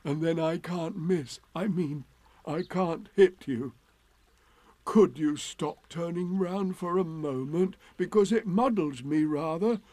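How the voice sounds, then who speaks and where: A man voicing an earnest, simple-minded bear in a storybook, halting and apologetic. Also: fairly high pitch, mellow, no room sound, pitched above the performer's own voice.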